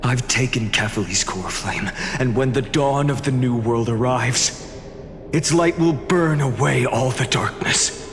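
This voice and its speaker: An actor playing a resolute young hero in a video game cutscene, speaking firmly and defiantly. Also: male voice, mid-range voice, a little slow, lightly acted. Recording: reverb with loud music behind.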